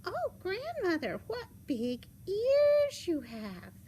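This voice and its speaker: Woman as a very high-pitched innocent little girl. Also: huge pitch swings, warm tone, slight background noise, full character voice. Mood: wondering. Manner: singsong, wide-eyed, drawn-out exclamations.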